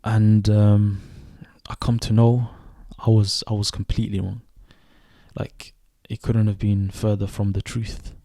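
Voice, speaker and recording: A young man in his twenties who grew up in Sweden, hosting a reflective solo podcast and speaking thoughtfully, with pauses and self-corrections. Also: fairly low pitch, little pitch movement, warm tone, dry recording, clean studio sound. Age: 20s. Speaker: podcast host.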